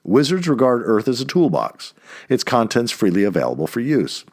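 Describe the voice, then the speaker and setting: A man reading an essay aloud in an emphatic way, stressing key words, with a husky voice. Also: mid-range voice, sing-song, moderate pace, a little mellow. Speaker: reader of an essay. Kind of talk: read-aloud essay narration.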